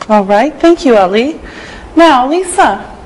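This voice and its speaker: An older woman from Canada teaching English on a studio set with a lapel mic, dictating words slowly and clearly. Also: high-pitched, animated, darkish tone, low-quality recording. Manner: slow, clearly enunciated dictation. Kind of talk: slow scripted classroom teaching.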